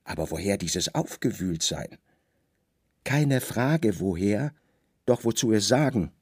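A man narrating a literary radio play with dramatic, deliberate storytelling in short phrases. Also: lowish voice, lively intonation, darkish tone, breathy edge, dry recording, studio quality.

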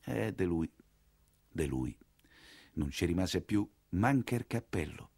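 An actor playing a wry, earthy nineteenth-century coachman who tells his story in colloquial Roman, in a grumbling, expressive stage monologue. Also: deep voice, slow, slightly rough, no room sound, clean studio sound, exaggerated voice. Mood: fond, rueful.

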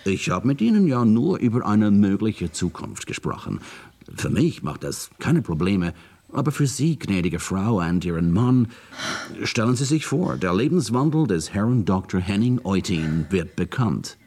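A smug blackmailer. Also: male voice, fairly low pitch, big pitch swings, unhurried, a little mellow, exaggerated voice. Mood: mocking. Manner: mocking, mixes English phrases into German. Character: smug male corporate spy, cynical blackmailer. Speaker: industrial spy. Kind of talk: radio drama acting.

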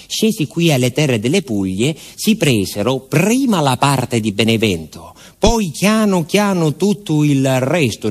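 A man's voice: mid-range voice, lightly acted. An actor playing a talkative, proud village postman with a southern Salento accent, telling stories in a rambling, deferential stage monologue. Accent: Salento, southern Italy. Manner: rambling folksy storytelling, repeats himself, seeks confirmation. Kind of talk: theatrical monologue.

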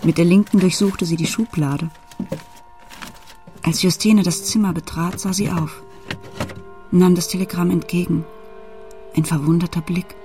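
An actress playing a desperate mother in a radio play, telling a family story at her daughter's sickbed in measured, literary phrases. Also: on the low side, fairly even intonation, dark tone. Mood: reflective storytelling. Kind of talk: radio play narration with inner monologue. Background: music.

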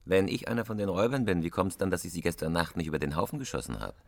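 A man's voice: low-pitched, lively intonation, very fast, vocal fry, darkish tone. An actor playing a cool, hard professional robber in a crime radio play, clipped and controlled in his way of talking. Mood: cool, curt. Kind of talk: terse radio drama dialogue.

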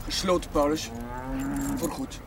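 Male actor as young chaplain, warm and wry, slightly high voice, naturalistic TV drama, noisy farmyard exterior. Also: unhurried, natural voice. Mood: wistful farewell. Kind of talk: naturalistic television drama acting. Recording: distant mic, noisy outdoor sound.

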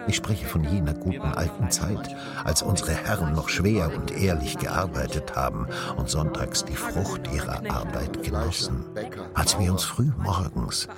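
An actor playing a solemn, ironic narrator of a radio drama, speaking in a deliberate theatrical way. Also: male voice, very deep voice, expressive, full character voice. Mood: solemn, musing. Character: wry, solemn storyteller of the good old days. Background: music.

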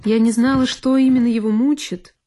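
A woman actress giving an expressive, reflective narration in a one-woman audio play. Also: on the high side, fairly quick, slight background noise. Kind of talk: scripted literary narration. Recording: long reverb with violin music. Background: solo violin.

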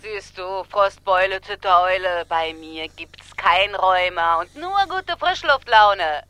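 A woman's voice: medium pitch, expressive, takes it slowly, sharp tone, clean tone, no echo. An actress playing Santa's carefree, teasing female cousin in a children's radio play, giggly and full of playful nicknames. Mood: teasing, cheerful.

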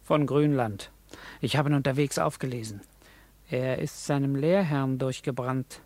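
An actor playing a cocky young streetwise pickpocket with a husky, teasing voice in a 1950s German radio drama. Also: male voice, medium pitch, steady tone, measured pace, darkish tone, natural voice. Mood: cheeky, boastful. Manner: jaunty, teasing, chuckling.

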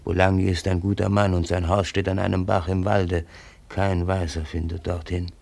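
An actor playing a shrewd, deferential old Malay statesman in a radio drama, speaking with measured persuasion and a creaky voice. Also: sits low, level tone, a little mellow, no echo. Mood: cunning, persuasive. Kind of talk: German radio drama acting.

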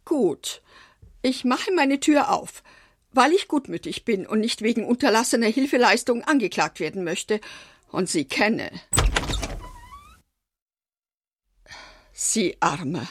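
A woman's voice: fairly high pitch, big pitch swings, measured pace, slightly rough, exaggerated voice. An actress playing a prim, bossy and whimsical eccentric woman in a German radio play. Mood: grudging. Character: fussy, eccentric fish-loving woman living in an apartment house.

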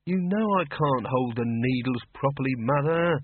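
Narrator puts on a resigned young daughter, slightly higher voice, phone-like audio. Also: male voice, animated, normal speed, slight background noise, natural voice. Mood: weary. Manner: weary, resigned. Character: weary, patient young woman, calm daughter. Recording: muffled phone-like audio, dry.

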